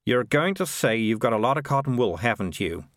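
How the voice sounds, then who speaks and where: A man's voice: mid-range voice, moderate pace, no echo, clean studio sound, pitched above the performer's own voice. A man voicing a battered, grumpy male cat in a storybook, gloomy and dryly sarcastic. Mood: weary scorn. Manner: gloomy, sighing, deadpan sarcasm.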